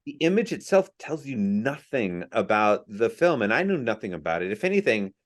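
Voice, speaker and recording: A man's voice: lowish voice, very animated, moderate pace. Wry man chiming in on a podcast. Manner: wry, with dry sarcastic asides. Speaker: podcast co-host, film reviewer. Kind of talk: casual chat.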